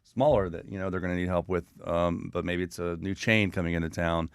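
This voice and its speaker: A man with a lowish voice speaks very quickly in a dry studio. He is a talkative interview guest, earnest and anecdotal in a casual way. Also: steady tone, creaky, clean tone, no echo. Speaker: guest, communications consultant.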